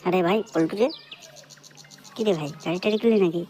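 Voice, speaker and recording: Man doing a quick-talking, stubborn cartoon drinking buddy. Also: sits high, over loud music, close to an everyday voice. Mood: eager. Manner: slangy, repetitive, argumentative. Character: stubborn, dim, freeloading young male drinking buddy. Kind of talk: crude comedy cartoon dubbing.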